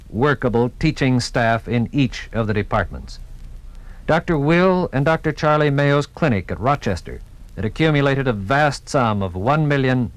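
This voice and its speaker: A man's voice: medium pitch, no room sound, low-quality recording. American male documentary announcer, medium register, dramatic scripted delivery, vintage radio recording. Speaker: narrator.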